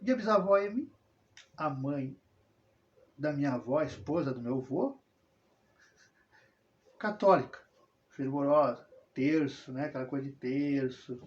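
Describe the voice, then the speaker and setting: A man's voice: medium pitch, animated, unhurried, long pauses, a little mellow. A man rambling through a casual personal monologue, full of tag questions and self-corrections. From southern Brazil. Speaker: religious video host. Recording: dull lo-fi sound.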